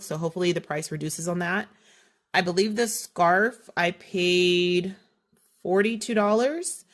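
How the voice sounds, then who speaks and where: A woman with a slight cold and a sniffle, chatting through a shopping haul and correcting herself as she goes. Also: fairly low pitch. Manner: chatty, self-correcting, detailed. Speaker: haul vlogger. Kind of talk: casual product haul walkthrough.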